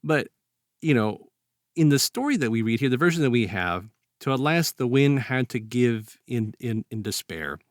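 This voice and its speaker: A man talks as a podcast host in a close, dry recording. His delivery is halting and conversational, with a medium-pitched voice. He reflects casually on what he reads and animates his retellings with acted-out quotes. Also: expressive, no room sound. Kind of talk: casual reflective literary discussion.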